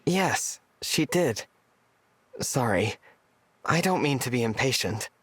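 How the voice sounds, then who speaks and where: An actor playing an earnest, soft-hearted young hero in a video game, hesitant and sincere. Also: male voice, mid-range voice, expressive, slow, husky, no room sound, full character voice. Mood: uneasy, sincere. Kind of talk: scripted video game voice acting.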